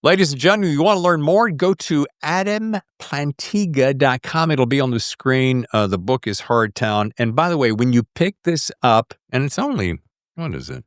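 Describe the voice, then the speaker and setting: Middle-aged man, American accent, very lively pitch, dry close sound, folksy and effusive podcast host. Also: normal speed, no echo. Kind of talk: casual podcast interview chat.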